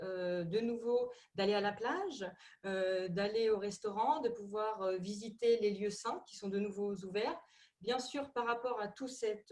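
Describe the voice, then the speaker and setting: A woman's voice: mid-range voice, darkish tone. A middle-aged woman with a Parisian accent, presenting on a video call and hesitating often with fillers and repeated words. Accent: Parisian French. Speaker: tourism ministry representative.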